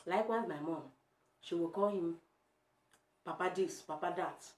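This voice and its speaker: A woman's voice: lowish voice, moderate pace, a little mellow. A young woman with a Nigerian Igbo accent, talking straight to her viewers in a casual opinion vlog and firing emphatic rhetorical questions at them. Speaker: vlogger. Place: home room. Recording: echoey room.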